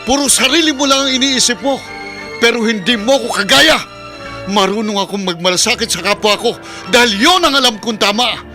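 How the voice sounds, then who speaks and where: A man's voice: very high-pitched, a little fast, sharp tone, soft background music. An actor playing a principled, earnest, reproachful man with a Filipino accent in a radio drama. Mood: impassioned, indignant. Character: principled, indignant man defending mistreated children.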